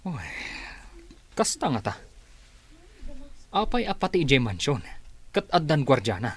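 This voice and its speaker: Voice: mid-range voice, sing-song, a little slow, breathy edge. An actor playing a gossipy, scornful office employee in a radio drama, with an Ilocano Filipino accent.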